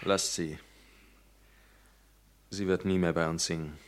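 A man's voice: low voice, fairly even intonation, slow, background noise. A man acting a stern young resistance leader who interrogates and commands, in a radio drama. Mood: commanding. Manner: commanding, interrogating, shouting in bursts. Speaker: radio play actor.

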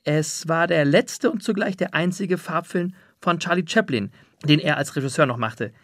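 Male interview host, notably high-pitched voice, dry close sound, prepared presenting style. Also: normal speed, a bit bright, no room sound.

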